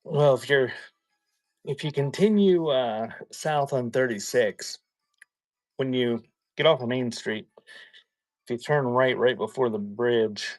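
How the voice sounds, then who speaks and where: A man chatting casually in a folksy, halting way as he hosts a live sale and shows items. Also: mid-range voice, no room sound. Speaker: live auction seller hosting a stream. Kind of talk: casual rambling chat while showing items for sale.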